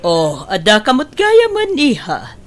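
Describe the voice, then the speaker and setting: A woman's voice: on the high side, wildly swooping pitch, measured pace, music in the background. A woman acting a domineering middle-aged mother who coaxes and insists, in an emotive audio drama. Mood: probing.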